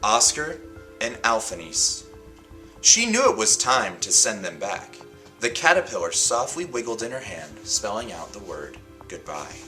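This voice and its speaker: A man hosts a storybook read-aloud in a lowish, very animated voice. He phrases each line dramatically and deliberately, leaving emphatic pauses. Faint music plays behind him. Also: poor audio. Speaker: host reading a picture book aloud and setting a writing challenge.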